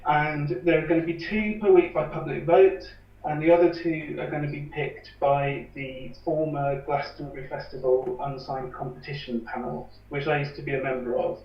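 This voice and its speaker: A man calmly explaining how he runs a campaign for independent bands, with an earnest manner. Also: on the high side, normal speed, slight background noise. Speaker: campaign organiser. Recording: echoey, distant, dull lo-fi sound.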